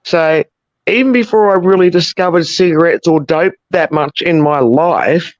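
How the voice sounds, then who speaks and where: Deep-voiced Australian woman, blunt and sweary. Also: normal speed, no echo, muffled recording. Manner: blunt, sweary, animated storyteller. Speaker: viewer caller. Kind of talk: casual chat.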